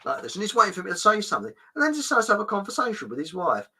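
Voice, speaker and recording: A middle-aged man chats casually, laughing often as he rambles through stories. His voice is very bright and forward, and his pitch swings widely. He swears lightly and keeps the tone conversational. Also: wildly swooping pitch, very fast, breathy edge. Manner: rambling anecdotes, frequent laughs, mild swearing. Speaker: podcast host. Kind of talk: casual storytelling chat.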